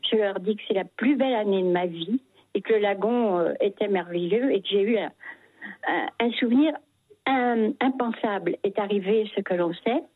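An older woman from Paris calling in by phone, reminiscing in short, halting phrases. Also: medium pitch, dry recording. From Paris, France. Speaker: phone-in caller. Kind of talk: personal testimony, reminiscing. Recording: muffled telephone line, husky sound.

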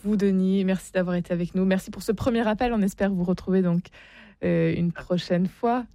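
A woman hosting a live radio phone-in, speaking into a studio microphone with hesitant, halting phrasing. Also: medium pitch, mellow, no room sound. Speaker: radio call-in host.

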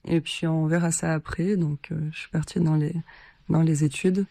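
A woman from Lithuania, a singer-songwriter, answering radio interview questions in a shy, soft-spoken and hesitant way with many fillers. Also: low-pitched, fairly even intonation, moderate pace, gravelly, no echo.

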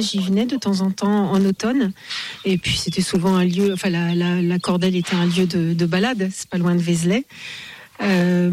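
A woman's voice: mid-range voice, a little mellow, a little hiss or hum. Hesitant Parisian woman. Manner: hesitant, many fillers and restarts. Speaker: interview guest. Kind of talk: casual outdoor interview. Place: outdoors at a building site.

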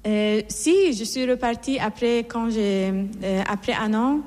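A woman's voice: a little high, measured pace, a little mellow. A middle-aged woman from Iran, a nun, telling her life story in an interview in an earnest, reflective way, often restarting her sentences. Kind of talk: personal testimony in an interview. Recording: long hall reverb.

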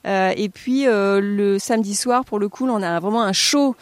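A woman directing a choral festival, explaining the program in an interview with many pauses and fillers. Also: medium pitch, no echo. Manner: hesitant, many fillers and restarts. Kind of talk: radio interview.

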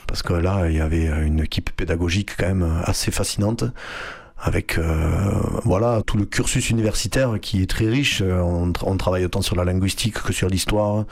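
A man's voice: sits low, fairly even intonation, moderate pace, a little mellow. A young man who grew up with Flemish as his first language, answering interview questions with many fillers and restarts. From France (Hérault, Occitanie). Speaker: artistic director, guest. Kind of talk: radio interview.

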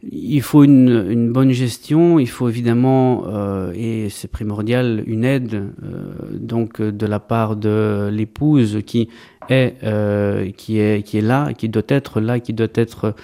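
A man's voice: fairly low pitch, fairly even intonation, slow, creaky, warm tone. A man in his thirties, an Orthodox priest from Greece raised in Belgium, answering interview questions hesitantly with frequent fillers and restarts. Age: 30s.

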